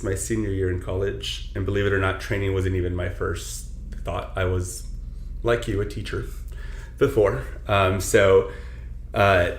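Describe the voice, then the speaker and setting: A man's voice: low voice, a little hiss or hum. A man from south Texas whose first language is Spanish, a personal trainer talking earnestly in a casual interview, full of "you know"s. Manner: earnest, filler-heavy, many "you know"s. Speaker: personal trainer, podcast guest. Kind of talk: casual reflective interview talk. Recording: roomy echo with faint ambience.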